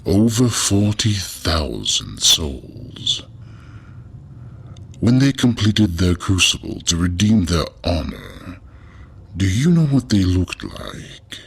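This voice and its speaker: Solemn revenant jailer: very slow, gravelly, imposing, swinging intonation, audio fiction. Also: male voice, low-pitched, wildly swooping pitch, full character voice. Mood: solemn, assured. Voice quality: gravelly, husky. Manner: slow, weighty, deliberate delivery.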